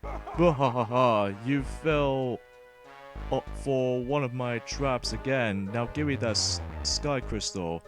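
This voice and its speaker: A man's voice: mid-range voice, slow pace, close to an everyday voice. A man voicing a big, bullying turtle king from a video game, bossy and gloating, stumbling a little as he reads. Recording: music playing behind the voice.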